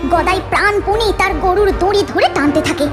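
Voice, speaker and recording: A woman's voice: very high voice, moderate pace, over music. A woman narrating a scripted cartoon story in a dramatic storytelling manner.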